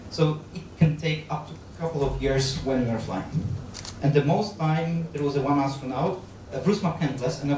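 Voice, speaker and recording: Man with Polish accent, thirties, lecturing on stage, fairly high voice, booming hall echo, distant mic. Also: darkish tone, slight background noise. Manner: halting, with frequent fillers, restarts and gestures. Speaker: guest lecturer on astronaut training. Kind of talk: public lecture. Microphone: handheld microphone. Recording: very echoey hall, captured from far off.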